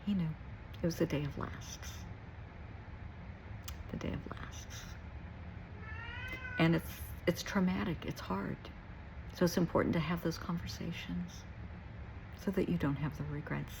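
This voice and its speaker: A woman's voice: fairly low pitch, steady tone, a little slow, gravelly, pauses often, noisy background. A middle-aged woman giving a reflective personal talk to listeners, earnest and emphatic, repeating short phrases for weight. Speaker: therapist and nurse.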